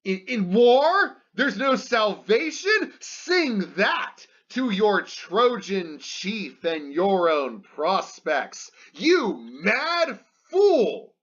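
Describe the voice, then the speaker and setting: Man performing scornful young warrior prince, epic reading. Animated, declamatory, emphatic delivery; dull lo-fi recording. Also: high voice, drawn-out words, few pauses, full character voice. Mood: furious defiance. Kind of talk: dramatic reading of epic verse.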